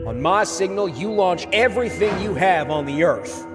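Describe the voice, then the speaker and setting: A man's voice: sits high, animated, moderate pace, over loud music. A man voicing a commanding cartoon moon who leads an attack. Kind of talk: cartoon voice acting.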